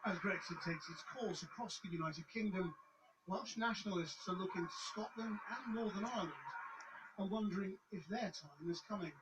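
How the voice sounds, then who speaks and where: Brisk, challenging male news presenter. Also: sits high, distant mic, lo-fi sound, very noisy. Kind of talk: news narration and interview.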